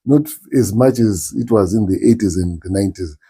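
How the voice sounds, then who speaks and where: A middle-aged man with a strong Zimbabwean accent, answering interview questions thoughtfully with many pauses and restarts. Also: fairly low pitch, mellow, husky. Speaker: interview guest, musician. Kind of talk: relaxed interview talk.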